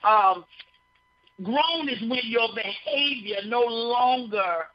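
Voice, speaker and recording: Slow-talking woman preacher, lo-fi broadcast. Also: fairly high pitch, animated. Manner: folksy, repeats phrases, asks listeners "you know". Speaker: radio speaker on behavior. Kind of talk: conversational sermon. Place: radio studio. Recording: dull lo-fi broadcast sound.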